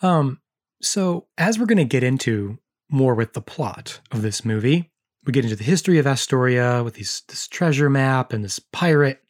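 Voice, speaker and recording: Slightly hoarse male co-host, chatty and jokey, nerding out on history. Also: medium pitch, animated, normal speed. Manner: joking, chatty, history-nerd asides. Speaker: podcast co-host. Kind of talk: casual chat.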